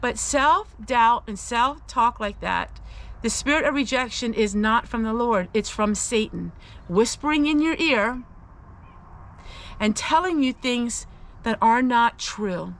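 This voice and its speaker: Female faith vlogger, raised pitch, very measured pace, earnest and emphatic personal encouragement. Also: animated, rough recording. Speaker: host of a faith-based personal vlog.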